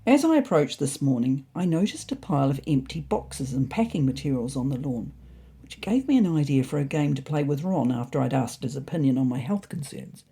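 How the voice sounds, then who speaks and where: A narrator reading a scripted first-person animal story in an expressive, wryly dramatic way. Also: medium pitch, a little fast, vocal fry, husky.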